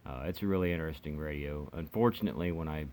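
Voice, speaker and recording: Very deep-voiced man giving casual gear demo. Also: darkish tone, slight background noise. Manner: halting, rambling explanation with pauses. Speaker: hobbyist product reviewer. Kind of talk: casual unscripted gear demonstration.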